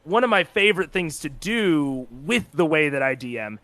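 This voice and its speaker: Higher-pitched man explaining, dry close sound. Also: big pitch swings, normal speed, bright, forceful tone, dry recording. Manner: explanatory, informal. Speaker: guest, content creator and game master. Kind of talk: casual interview chat about tabletop games.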